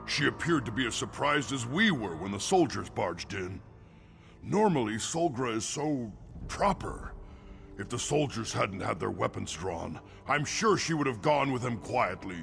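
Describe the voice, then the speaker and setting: Casting: nervous, courteous orc temple attendant, creaky mid-pitched voice, fantasy game scene over music. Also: male voice, big pitch swings, a little slow, fairly bright tone, exaggerated voice. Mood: troubled.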